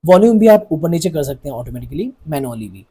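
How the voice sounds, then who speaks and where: A man's voice: on the high side, big pitch swings, fast, mellow, a little hiss or hum. A man with an Indian accent giving a casual, explanatory product review as a vlogger, often mixing in English phrases. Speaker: vlogger reviewing motorcycle gear.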